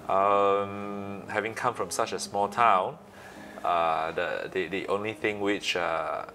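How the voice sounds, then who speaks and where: Malaysian guy late 30s, low voice, pauses a lot, interview answers, bit of noise behind him. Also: steady tone, bright, forceful tone. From Malaysia, east coast. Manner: halting, pausing often between phrases. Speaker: interview guest, entrepreneur. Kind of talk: conversational interview.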